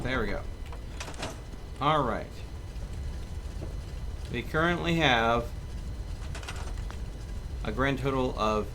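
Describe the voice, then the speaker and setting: A man giving casual live commentary as he plays a game, thinking aloud in an unhurried way. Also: mid-range voice, pushed and bright. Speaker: game streamer. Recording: clear background noise.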